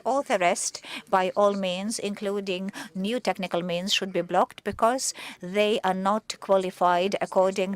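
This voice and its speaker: A woman speaking on a conference panel in an earnest, halting way, often searching for words. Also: lowish voice, clean tone, no echo. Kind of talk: panel discussion.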